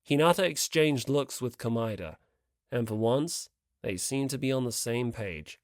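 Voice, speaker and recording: An audiobook narrator reading fiction from a script in an expressive storytelling style. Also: mid-range voice, measured pace, no room sound, studio quality.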